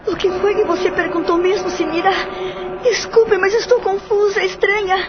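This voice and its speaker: A woman's voice: very high-pitched, fairly even intonation, normal speed. An actress playing a middle-aged mother who speaks in an anxious, pleading, distressed way. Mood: desperate. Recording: dull lo-fi audio with faint music.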